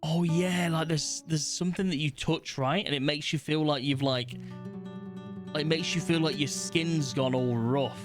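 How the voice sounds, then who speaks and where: A man's voice: a little high, steady tone, moderate pace, soft background music. A young man, casually chatting to his stream audience as he reads out questions, blunt and swearing now and then. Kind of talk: casual livestream chat, reading test questions aloud.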